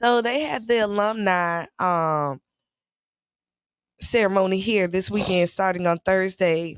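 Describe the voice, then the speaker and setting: A woman with a hoarse, raspy voice co-hosts a casual morning talk show, recapping her weekend in a chatty storytelling way. Her voice sits at an ordinary pitch and sounds close and dry with no room around it. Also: measured pace, dry recording. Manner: chatty, storytelling, laughs easily. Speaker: radio show co-host.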